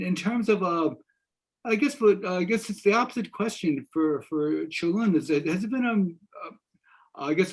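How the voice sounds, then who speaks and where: Older man, husky voice, halting and rambling, moderating a panel over video call. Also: a little high, a little mellow, poor audio. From United States. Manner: halting, rambling, frequent repetitions. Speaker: host, professor. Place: indoor room at home, video call. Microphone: computer mic on video call.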